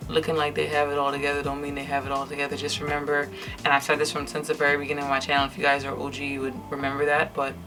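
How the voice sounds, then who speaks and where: Tired, candid Trinidadian woman chatting. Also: sits low. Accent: Trinidadian English, lightly. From Trinidad and Tobago. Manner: candid and chatty, many fillers and restarts. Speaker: vlogger. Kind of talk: casual chat to camera while doing makeup. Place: indoors at home, night.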